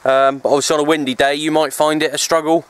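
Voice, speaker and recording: A man's voice: fairly high pitch, little pitch movement, fast, smooth, no echo. A man chatting casually and easily in a rambling outdoor vlog, recorded on a handheld camera at arm's length. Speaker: radio hobbyist vlogger. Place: outdoors, open parkland in wind. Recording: noisy windy outdoor camera audio.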